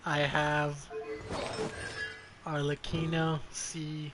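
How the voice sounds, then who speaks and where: A man's voice: fairly high pitch, completely flat delivery, extremely slow, music in the background. A man giving casual gameplay commentary as a streamer, blunt and foul-mouthed, often muttering to himself. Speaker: game streamer. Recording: clearly noisy background.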